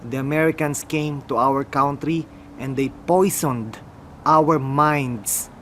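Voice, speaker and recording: A young man from the Philippines with a Filipino accent, talking slowly and mumbling a little as he muses aloud in a casual unboxing vlog. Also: on the high side, a bit bright, a little hiss or hum. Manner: slow, mumbling, musing aloud. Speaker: motorcycle vlogger.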